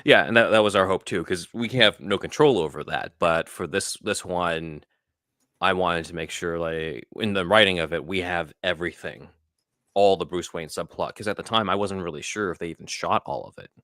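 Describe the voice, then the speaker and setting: A man with a very deep voice and a bright, forceful edge chats informally as an interview guest. The sound is close and dry, and he talks like a writer and actor discussing his craft. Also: moderate pace, no echo. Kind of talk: casual interview chat.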